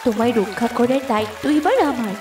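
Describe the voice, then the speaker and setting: A woman's voice: fairly high pitch, fast talker, distant mic, music in the background, lightly acted. A woman voicing a tender, protective female river creature in a cartoon fairy tale, cooing with wonder. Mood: tender, enchanted.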